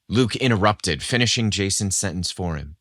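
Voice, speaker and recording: Male podcast narrator, deep register, deliberate scripted delivery, very dry sound. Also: expressive, fairly bright tone, no echo. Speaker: podcast host and story narrator.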